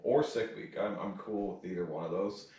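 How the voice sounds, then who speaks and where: A man's voice: lowish voice, normal speed, a little mellow. A man chatting casually and informally as a podcast co-host, with chuckles and plenty of filler phrases. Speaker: podcast co-host leading the topic. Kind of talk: casual chat about cars and racing. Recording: echoey room, distant mic.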